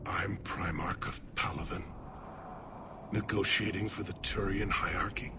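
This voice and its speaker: Low-voiced, blunt alien general. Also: male voice, steady tone, unhurried, vocal fry. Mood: grave, reflective. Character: blunt, battle-hardened male alien general, proud and stern. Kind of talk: cinematic sci-fi game acting. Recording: loud battlefield and vehicle noise behind.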